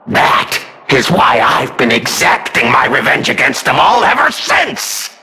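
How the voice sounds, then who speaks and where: An actor playing a manic, mocking, murderous puppet in a video game, with theatrical delivery that is gleefully unhinged. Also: male voice, mid-range voice, very animated, measured pace, gravelly, full character voice. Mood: vengeful, gleeful. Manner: theatrical, gleefully unhinged, swinging between hushed and shouted. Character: manic, mocking, murderous male puppet, self-styled king of riddles.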